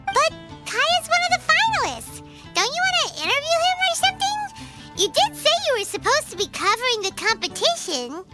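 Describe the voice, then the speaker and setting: A woman's voice: big pitch swings, brisk, over loud music. A woman voicing a tiny childlike fairy companion in a video game, chirpy, nosy and exclamatory. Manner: squeaky, exclamatory, talks about self in third person. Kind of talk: video game cutscene acting.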